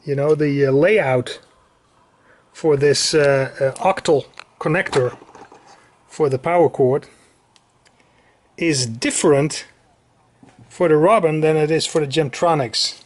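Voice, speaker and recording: A man giving a casual technical show-and-tell as a radio restorer, halting and searching for his words as he explains. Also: a little high. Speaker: radio restorer presenting a demonstration.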